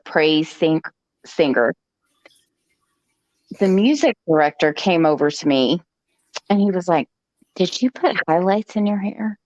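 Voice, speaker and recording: A woman's voice: lowish voice, dry recording. A woman hosting a live stream, telling a personal story in a chatty, joking way, with frequent "okay" asides. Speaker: host. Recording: dull lo-fi stream audio.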